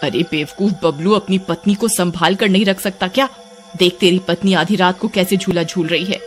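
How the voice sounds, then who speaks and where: A woman's voice: on the low side, normal speed, faint music behind, close to an everyday voice. A woman voicing a scolding, exasperated village woman in a cartoon, nagging and exclaiming. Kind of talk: melodramatic cartoon dialogue.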